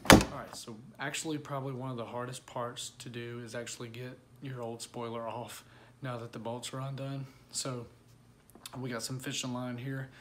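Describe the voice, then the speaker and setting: Easygoing dude explaining a diy car job, mid-pitch voice, loose and chatty, bit of faint noise behind. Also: male voice. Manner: laid-back, chatty, frequent "um" fillers. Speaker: car-modding channel host. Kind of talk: casual how-to walkthrough.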